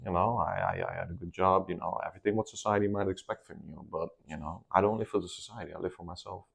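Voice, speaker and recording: A deep-voiced man talks through a casual interview. His low voice carries a gravelly, creaky edge. He pauses often, restarting sentences with ums. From Netherlands. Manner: hesitant, many ums and restarts. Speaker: guest.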